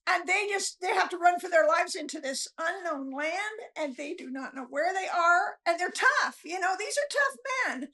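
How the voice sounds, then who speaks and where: A woman guest chatting casually and telling stories in an animated, folksy way. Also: very high-pitched, moderate pace, hardly any pauses. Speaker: author guest, novelist. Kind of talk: casual chat, storytelling.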